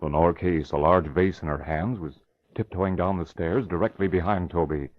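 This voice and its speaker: Casting: male narrator, deep voice, 1940s radio storytelling style, muffled vintage sound. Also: fairly even intonation, moderate pace. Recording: dull, faintly noisy old radio recording.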